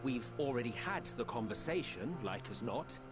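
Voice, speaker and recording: A man's voice: medium pitch, animated, normal speed, music in the background, close to an everyday voice. An actor playing a sardonic, aloof male scholar in a voiced game cutscene, speaking with dry, slightly haughty wit.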